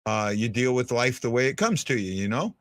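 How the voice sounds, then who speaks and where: A man's voice: mid-range voice, sing-song, fairly quick, no room sound, studio quality. A man in his fifties hosting a hockey fan show as a casual livestream chat, blunt and prone to repeating himself. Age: 50s. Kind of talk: casual livestream chat answering viewers' comments.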